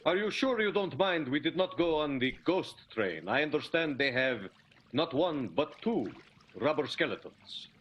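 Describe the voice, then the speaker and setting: Florid, melodramatic silent-film lover. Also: male voice, a little high, lively intonation, normal speed, a bit bright, muffled recording, a little hiss or hum. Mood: grandly musing. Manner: florid, grandiose, lingering delivery. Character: melodramatic ardent silent-film leading man, jealous husband. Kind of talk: improvised radio soap opera acting.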